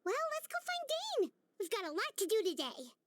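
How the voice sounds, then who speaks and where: A woman voicing a small, excitable floating girl companion in a video game, chatty and expressive. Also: fairly quick, dry recording, full character voice. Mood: eager. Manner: squeaky, expressive, speaks of herself in third person.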